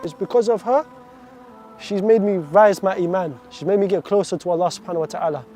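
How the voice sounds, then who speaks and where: A man's voice: high-pitched, fairly quick, warm tone. A man in his twenties from Iraq, giving an earnest, heartfelt faith testimony full of devout phrases. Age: 20s.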